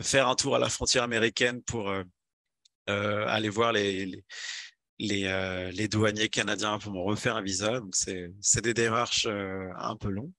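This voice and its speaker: Hesitant young man, bright dry voice. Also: lowish voice, dry recording. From France. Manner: hesitant, many fillers and restarts. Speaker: video game developer. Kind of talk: casual talk with slides.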